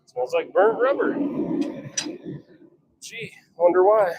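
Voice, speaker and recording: Man in higher, swinging voice, workshop noise. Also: huge pitch swings, slow pace. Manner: muttering self-commentary in short clipped remarks, wry. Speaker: livestream host forging knives. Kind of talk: casual livestream chat and running commentary while working. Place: blacksmith workshop with forge.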